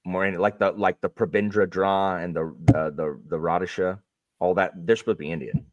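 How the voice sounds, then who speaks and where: A man hosting a livestream, chatting casually in a lively, rambling way. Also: low-pitched, fairly quick, dry recording, highs cut off. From Canada. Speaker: streamer host.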